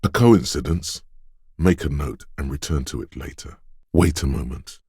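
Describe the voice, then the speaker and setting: An actor playing the alert, wry inner voice of a detective's quick reflexes, narrating a video game in a deliberate, knowing way. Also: male voice, a bass voice, dark tone, slightly rough, no echo, studio quality, exaggerated voice. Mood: watchful, knowing.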